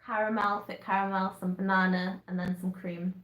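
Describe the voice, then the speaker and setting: A woman's voice: mid-range voice, flat delivery, normal speed, a bit bright, highs cut off. A young woman with a Yorkshire accent, bubbly and laughing often as she co-hosts a livestream. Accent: Northern English, Yorkshire. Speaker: livestream co-host. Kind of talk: casual livestream chat. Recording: echoey room.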